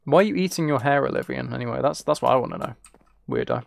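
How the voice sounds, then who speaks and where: A man's voice: medium pitch, quick pace, vocal fry, no echo. A British man streaming a game and chatting casually with viewers, musing aloud in an informal, rambling way. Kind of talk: casual chat while playing a game.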